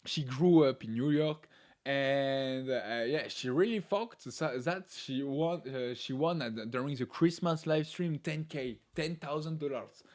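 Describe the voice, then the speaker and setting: A man hosting a live stream, chatting casually in English with a non-native accent, laughing often and filling pauses with "uh". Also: mid-range voice, darkish tone. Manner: laughs often, talks with many "uh" fillers. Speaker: livestream host.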